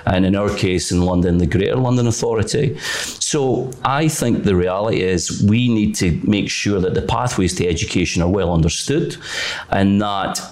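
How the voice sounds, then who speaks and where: A Scottish man who leads a further education college, talking in a debate in a measured, formal way with some restarts. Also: fairly low pitch, darkish tone. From Scotland. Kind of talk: political debate discussion.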